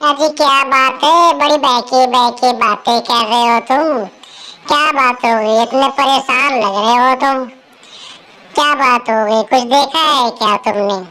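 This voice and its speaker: An actress plays a no-nonsense village woman in an animated horror tale. Her voice is high and takes its time, with a questioning, plain-spoken manner. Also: a little slow. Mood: puzzled, nagging. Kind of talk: animated horror story dialogue.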